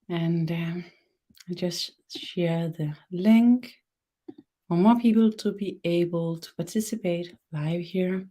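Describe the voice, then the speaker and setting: A woman with a Danish accent, hosting a live webinar and guiding a body meditation, slow and halting with fillers. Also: on the low side. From Denmark. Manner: soft, guiding instructions. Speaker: webinar host, energy worker.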